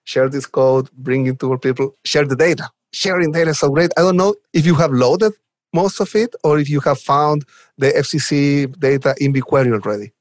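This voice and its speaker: Man, slightly high voice, enthusiastic, conversational interview answers, close dry room sound. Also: a little fast, dry recording. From Chile. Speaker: podcast guest, software developer advocate.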